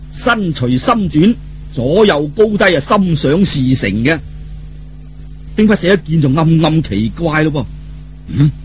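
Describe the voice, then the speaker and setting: A man's voice: fairly high pitch, a little hiss or hum. A man telling a story in colloquial Cantonese, theatrical and dramatic, with vivid exclamations.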